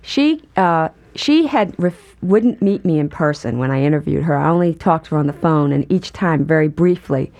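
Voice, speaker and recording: A woman guest in an interview, talking thoughtfully and in a measured way about her work. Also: low-pitched, expressive, a little mellow. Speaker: guest, performer. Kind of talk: conversational interview.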